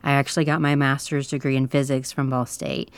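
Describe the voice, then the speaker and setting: Calm low-voiced woman, planetarium director. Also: fairly quick, no room sound. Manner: calm, soothing. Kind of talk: calm interview talk. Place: planetarium dome.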